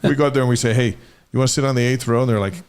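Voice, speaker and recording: A man's voice: medium pitch, fairly even intonation, racing, darkish tone, clean tone. Middle-aged man, chatty sports show co-host. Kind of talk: casual banter reading on-this-day history items.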